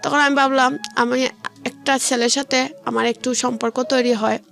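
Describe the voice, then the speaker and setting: A woman's voice: high-pitched, steady tone, moderate pace, vocal fry, a bit bright. A young woman with a Bangladeshi accent telling her life story in a colloquial way during a radio interview, often quoting conversations directly. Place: radio studio. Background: background music.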